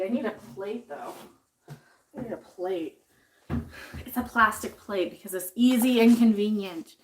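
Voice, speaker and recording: A woman's voice: fairly high pitch, slight background noise. A woman chatting casually on a livestream while she cooks in her home kitchen, sniffly from chopping jalapenos and reading viewer comments aloud. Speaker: livestream host cooking.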